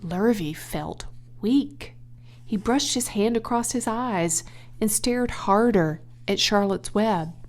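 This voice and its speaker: A woman reading a children's audiobook aloud as an expressive storyteller. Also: mid-range voice, takes it slowly. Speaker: audiobook reader.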